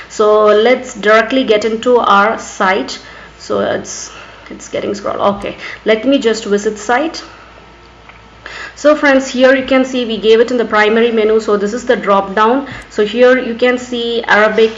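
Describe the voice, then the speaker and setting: A woman with an Indian accent and Urdu as her first language narrates an unscripted screen tutorial as host, often saying "actually". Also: fairly high pitch. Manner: repeatedly says "actually". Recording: echoey, muffled, background noise.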